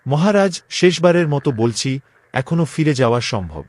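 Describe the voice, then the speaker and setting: Earnest loyal companion, lively male voice acted. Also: a little high, moderate pace. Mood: worried, pleading. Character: loyal, worried young male friend of a king. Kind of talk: dramatic Bengali cartoon voice acting.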